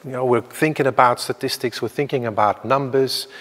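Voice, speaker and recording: A man's voice: mid-range voice, fairly even intonation, brisk, a bit bright. A Belgian man in his fifties giving a tentative, self-deprecating conference talk with many fillers and restarts. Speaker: academic speaker. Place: lecture hall. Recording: reverberant hall.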